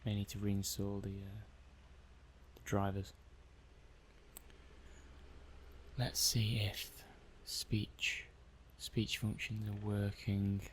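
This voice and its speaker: A man's voice: on the low side, completely flat delivery, takes it slowly, a little hiss or hum, low-quality recording. A man playing a mild, methodical robot-testing technician in an ASMR roleplay, muttering hesitantly and matter-of-factly to himself. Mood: focused, tentative. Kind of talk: soft-paced ASMR roleplay monologue.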